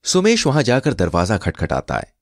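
Male story narrator, lowish pitch, very lively intonation, dry close sound. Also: moderate pace, no echo. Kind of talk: scripted Hindi storytelling narration.

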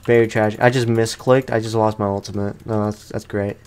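A young man giving casual, slangy let's play commentary with animated, playfully exasperated reactions, as a gaming YouTuber. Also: fairly low pitch, a little fast, a little hiss or hum. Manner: slangy, informal.

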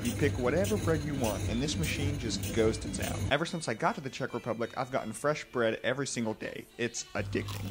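A young American man talks through his travel vlog with upbeat, joking narration and dry asides. He speaks at an ordinary pitch into a handheld mic. Clear background music plays under his voice. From United States. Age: young adult. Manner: upbeat, jokey, deadpan asides. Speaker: travel vlogger. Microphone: handheld mic held at chest. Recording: voice-over with music bed.

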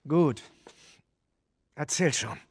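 An actor playing a wary, resentful younger brother, a rugged adult survivor in a serious video game drama, blunt and defensive. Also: male voice, a little high, animated, drawn-out words, slightly rough, dry recording, studio quality, exaggerated voice. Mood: skeptical.

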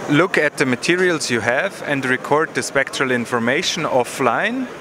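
Man, 30s or 40s, product expert, measured explanatory delivery, noisy exhibition hall. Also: medium pitch, expressive. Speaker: product manager explaining a demo. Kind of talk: technical explanation in an interview. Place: busy exhibition hall booth. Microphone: interviewer's handheld microphone. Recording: noisy trade fair hall ambience.

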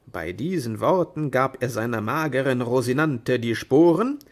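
A man reading a storybook tale as narrator, in an expressive, deliberate manner. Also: medium pitch.

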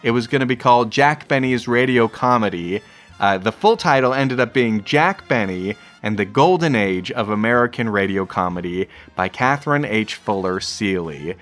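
A man's voice: on the low side, lively intonation, moderate pace, a bit bright, faint music behind. A man hosting a podcast, talking in a conversational introductory style. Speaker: podcast host.

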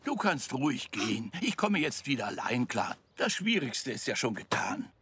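A man voicing a kindly, jolly old zebra grandfather in a children's cartoon, easygoing and unhurried. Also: medium pitch, sing-song, a bit bright, breathy edge, dry recording, full character voice.

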